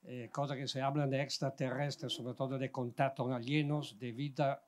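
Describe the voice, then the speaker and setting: A man's voice: mid-range voice, level tone, moderate pace. An older man from Italy, speaking Spanish with an Italian accent, rambles through a long question from the outdoor audience on a handheld mic, searching for words as he goes. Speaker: audience member asking a question.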